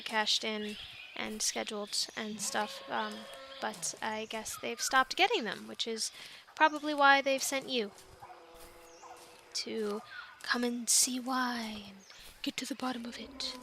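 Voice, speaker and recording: A woman playing a plucky young island castaway in an ASMR roleplay, chatting in an animated, halting, stammering way. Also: a little high, creaky, noisy background. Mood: awkward, apologetic. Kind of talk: ASMR roleplay, conversational one-sided dialogue.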